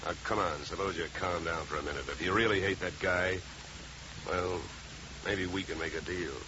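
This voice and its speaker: Low-voiced hard-boiled radio private eye played by a man. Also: steady tone, normal speed, close to an everyday voice. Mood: dry, probing. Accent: American. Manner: hard-boiled, dry, controlled. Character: tough, wry male private investigator, cool and sardonic. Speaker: lead actor and first-person narrator. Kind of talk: 1950s radio detective drama acting. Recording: muffled, noisy 1950 radio recording.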